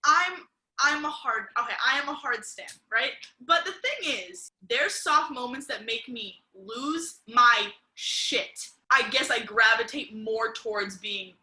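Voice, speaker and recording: A woman's voice: fairly high pitch, unhurried, pushed and bright, low-quality recording. A young American woman in her early twenties co-hosting a casual Q&A, rambling and candid with lots of "like". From United States. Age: early 20s. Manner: rambling, filler-heavy, candid. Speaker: YouTube co-host. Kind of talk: casual Q&A chat.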